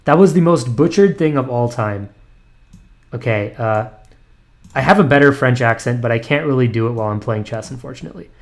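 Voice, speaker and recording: A man's voice: medium pitch, expressive, a little fast, vocal fry. Man muttering his moves during blitz chess. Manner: self-deprecating, muttering analysis with frequent ums and restarts. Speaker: chess streamer. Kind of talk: thinking aloud while playing blitz chess online.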